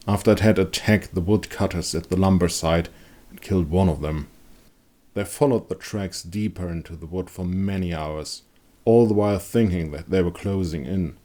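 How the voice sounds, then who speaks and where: A man giving a dramatic scripted narration of a dark fantasy story. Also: deep voice. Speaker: author and narrator.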